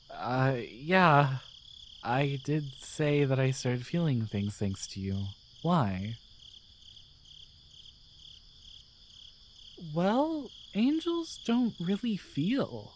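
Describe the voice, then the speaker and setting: A man playing a gentle, devoted guardian angel in a romantic ASMR roleplay, speaking in a tender, hesitant, reassuring way. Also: fairly high pitch, a little hiss or hum. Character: gentle, devoted male guardian angel in love with his human.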